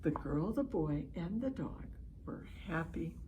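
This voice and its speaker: A woman hosting a children's storybook read-aloud, speaking in an encouraging, expressive way and pausing to ask young listeners questions. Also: sits low, muffled recording, noisy background. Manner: encouraging, expressive, lingers on phrases and prompts listeners. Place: at home, online session.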